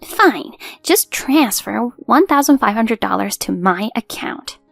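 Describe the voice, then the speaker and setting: A haughty older mother-in-law acted by a female narrator in a family drama story, demanding and scornful. The voice is high and creaky, recorded very dry. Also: animated, a little slow, fairly bright tone, no room sound, soft background music, full character voice. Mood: exasperated, haughty. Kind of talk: scripted story dialogue.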